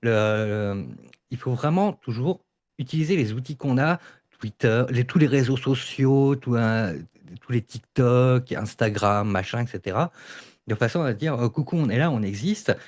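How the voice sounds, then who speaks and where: A man with a medium-pitched voice speaks in a casual group debate. He explains things insistently, often circling back to repeat a point. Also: moderate pace, a little mellow. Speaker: debate participant. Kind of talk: casual panel debate about audio fiction.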